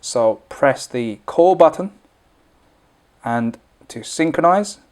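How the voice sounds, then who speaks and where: A man's voice: a little high, lively intonation, slow, a little mellow, slight background noise. A man presenting an informal, unscripted product tutorial, explaining things step by step as he demonstrates.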